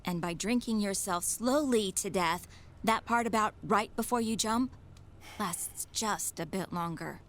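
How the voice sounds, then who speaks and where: A woman playing a caring, persistent caregiver in a naturalistic radio drama, probing and gently teasing as she persuades. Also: medium pitch, unhurried, lightly acted. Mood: blunt, calm. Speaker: actor in an audio drama.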